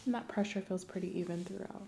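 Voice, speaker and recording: Female ASMR examiner: soft and often whispered, dark relaxed tone, gentle clinical delivery, slight background noise. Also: mid-range voice, quick pace, creaky. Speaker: ASMR performer playing a medical examiner. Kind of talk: ASMR medical exam roleplay.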